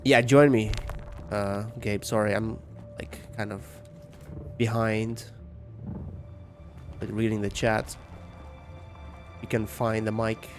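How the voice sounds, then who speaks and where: A man's voice: fairly low pitch, flat delivery, takes it slowly, long pauses. Lithuanian man chatting over music. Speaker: game streamer. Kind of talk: casual gameplay commentary and chat. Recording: music behind the voice.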